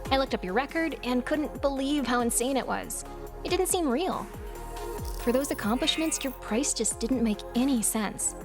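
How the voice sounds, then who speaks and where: A young woman hacker, haunted and guarded, with a fairly high voice. She confides in a dry, sardonic way and swears freely, as in a game cutscene. Music plays underneath. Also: animated, moderate pace. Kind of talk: video game cutscene dialogue.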